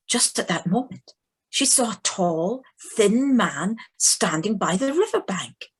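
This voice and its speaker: A woman's voice: high-pitched, husky. A woman narrating a children's story dramatically, as in a storybook audio play. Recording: home video-call recording.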